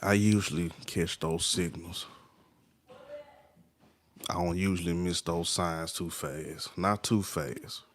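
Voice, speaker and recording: A man with a deep, low voice hosts a late-night livestream over a phone or webcam. He drifts between thoughts and greets viewers by name. His nose sounds a little stopped up. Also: steady tone, slow pace, pauses often. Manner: laid-back, talks to viewers by name, trails off. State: stuffy nose. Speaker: livestream host.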